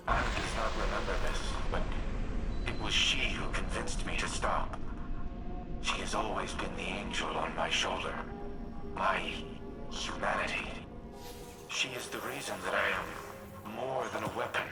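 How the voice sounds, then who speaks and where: Man voicing a deep, solemn war machine mind. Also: close to an everyday voice. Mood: grave, remorseful. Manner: measured, formal, solemn. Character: grave, remorseful ancient male war AI in a machine body. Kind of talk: scripted video game dialogue. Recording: game music behind, roomy echo.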